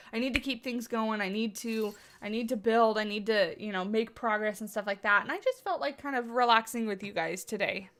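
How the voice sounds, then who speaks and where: A chatty woman talking from her home office, her voice sitting a bit high and a little stuffy from a cold. She rambles and pokes fun at herself as she chats. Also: a bit bright. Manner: chatty, rambling, self-deprecating. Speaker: game livestreamer. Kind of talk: casual chat with live chat.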